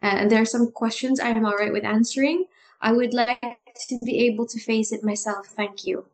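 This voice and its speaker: A woman co-hosting a casual talk about improv, animated and often restarting her sentences with a laugh. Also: a little high, brisk. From Philippines. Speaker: improv podcast co-host. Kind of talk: casual chat.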